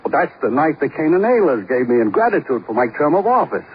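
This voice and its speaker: Blustery, swooping radio suspect. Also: male voice, a little high, very animated, quick pace. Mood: indignant, pleading. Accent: American. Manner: blustery, slangy, protesting. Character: defensive, boastful married man, self-styled respectable. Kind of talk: 1950s radio crime drama acting. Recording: muffled, hissy old radio broadcast.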